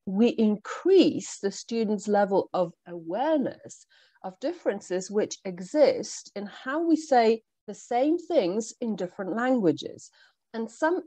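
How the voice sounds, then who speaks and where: A woman whose first language is Polish, presenting a workshop for language teachers in a measured, explanatory way with frequent ums. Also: medium pitch, dark tone, no echo. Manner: measured, explanatory, with pauses and fillers. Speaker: teacher trainer.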